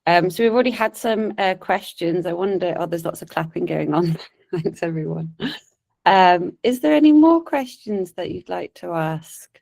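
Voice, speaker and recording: A woman moderating a talk, friendly and gently inviting questions from the audience. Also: fairly low pitch, expressive, normal speed, gravelly, dry recording.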